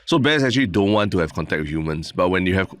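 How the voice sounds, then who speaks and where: A Singaporean man with a very low voice, recorded close and dry. He chats as a podcast co-host, easygoing and rambling, agreeing readily. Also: expressive, fast, no echo. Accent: Singaporean English. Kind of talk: casual chat.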